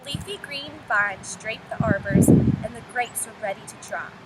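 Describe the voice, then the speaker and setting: A woman's voice: on the high side, normal speed. Woman teacher reading aloud in outdoor noise. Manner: addresses young listeners with questions and prompts. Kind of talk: storybook read-aloud to children. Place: outdoors in the open. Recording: noisy windy outdoor recording with traffic.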